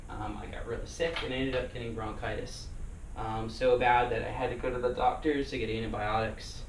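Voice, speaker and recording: A man talking casually in a personal vlog, rambling with many fillers and restarts, his voice still getting over hoarseness. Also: medium pitch. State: recovering from lost voice. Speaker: vlogger. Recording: echoey room, mic at a distance, noisy.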